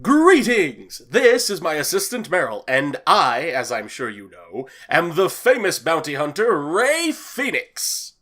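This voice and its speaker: Male actor as graying fox rogue in an audio drama: theatrical, slippery braggart, highly animated delivery. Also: a little slow, hardly any pauses, full character voice. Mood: boastful. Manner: theatrical, glib. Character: graying male fennec fox, boastful, evasive, paranoid bounty hunter.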